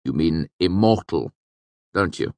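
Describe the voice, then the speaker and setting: A man as a hesitant, low-voiced, polite scribe. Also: lively intonation, unhurried, pushed and bright, dry recording, full character voice. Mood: uneasy. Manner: hesitant, uncertain. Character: uneasy, polite male scribe, a newcomer.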